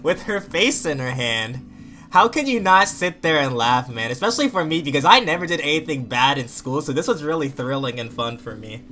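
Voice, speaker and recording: A young man, a gaming YouTuber, casually telling a story in an informal, slangy, animated way. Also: fairly high pitch. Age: young adult. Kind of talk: casual storytelling over gameplay.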